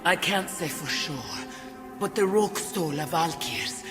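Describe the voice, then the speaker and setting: A woman's voice: on the low side, music in the background, natural voice. Woman playing a reverberant, noble warrior maiden spirit. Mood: solemn, troubled. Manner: formal, slow, solemn. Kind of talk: video game dramatic acting. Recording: long hall reverb.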